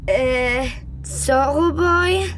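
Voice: sits high, extremely slow, a bit bright, a little hiss or hum, heavily acted. A performer playing a timid, anxious schoolboy in a children's radio play, speaking hesitantly. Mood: puzzled, worried. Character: timid, easily frightened schoolboy in superhero costume.

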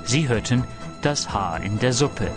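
Male announcer, scripted radio credits, mid pitch, prominent music bed. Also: animated, a little slow. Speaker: programme announcer. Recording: loud music under the voice.

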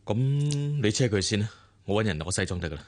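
An actor with a Hong Kong Cantonese accent playing a calm, weary, wealthy middle-aged boss in a radio drama, speaking in a measured, dry way. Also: male voice, fairly low pitch, close to an everyday voice.

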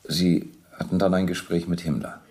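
An actor playing a methodical police captain in a radio play, questioning and reading out documents in a formal, controlled way. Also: male voice, deep voice, level tone, moderate pace, darkish tone, natural voice. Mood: probing. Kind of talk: German radio docudrama interrogation acting.

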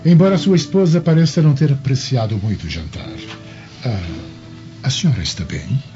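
An actor playing an unctuous, insinuating Roman governor in a radio drama, courteous. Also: male voice, mid-range voice, very animated, brisk, lo-fi sound, over music. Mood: suave, insinuating. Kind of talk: Brazilian radio drama acting.

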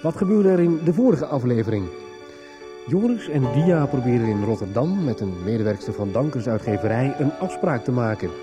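A man reading a scripted recap as the announcer of a Dutch radio soap. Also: medium pitch. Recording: clear music bed behind voice.

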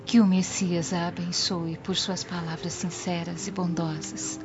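A woman's voice: on the low side, little pitch movement, unhurried, close to an everyday voice. An actress playing a sorrowful, grateful young Roman mother in a radio drama, meek and earnest. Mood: moved gratitude. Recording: dull lo-fi audio with music behind.

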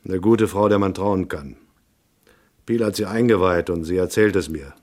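A man's voice: deep voice, moderate pace, natural voice. An actor playing a cool, tough private detective in a noir radio drama, laconic and matter-of-fact as he narrates and talks. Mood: terse, dry. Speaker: narrator and lead character.

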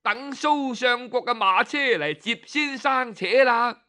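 A man voicing an eager, flattering middle-aged male innkeeper. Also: very high-pitched, unhurried, pitched above the performer's own voice, heavily acted.

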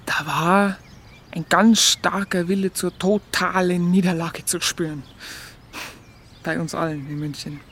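An actress playing a plainspoken, wry Munich woman with a Bavarian accent, telling her memories haltingly and conversationally in a radio play. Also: fairly low pitch, steady tone, a bit bright, a little hiss or hum. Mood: wry, chatty. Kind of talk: colloquial first-person narration in radio play.

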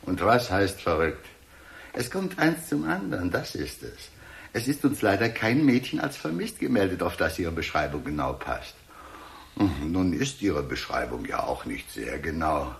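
A wry, low-voiced police inspector. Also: male voice, very animated, vocal fry, heavily acted. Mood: measured, patronizing. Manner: measured, wry, placating. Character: composed, talkative, wryly condescending male police chief inspector. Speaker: actor. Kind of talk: German radio drama acting.

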